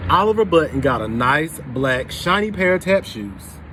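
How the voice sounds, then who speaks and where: A man reading a picture book aloud to children, pausing to put questions to his young listeners. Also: on the high side, big pitch swings, background noise. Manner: pauses to prompt young listeners. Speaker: storybook reader for children.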